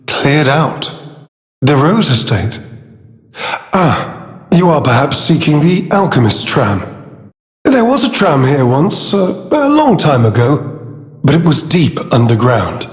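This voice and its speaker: Sly cowardly game villain, fake polite n theatrical, talks real slow, big echoey hall sound. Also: male voice, medium pitch, sing-song. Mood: guarded, helpful. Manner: theatrical, halting, drawn-out phrasing with mock politeness. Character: scheming, cowardly male informant turned smug, self-serving villain. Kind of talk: video game character dialogue. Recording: echoey hall with long reverb.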